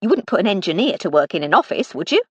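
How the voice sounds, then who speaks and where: A woman's voice: medium pitch, very animated, fast talker, slightly rough, dry recording. Woman doing a cheery, motherly old cook. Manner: homely, chatty and warmly bossy. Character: cheery older housekeeper-cook, motherly and proud.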